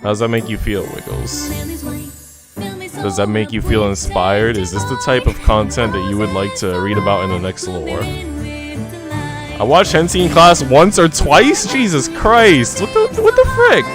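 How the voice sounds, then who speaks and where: Animated male streamer with music behind him. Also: medium pitch, measured pace. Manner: joking, teasing, informal slang. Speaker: live streamer. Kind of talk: casual stream chat mixed with reading visual novel narration aloud. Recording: background music under voice.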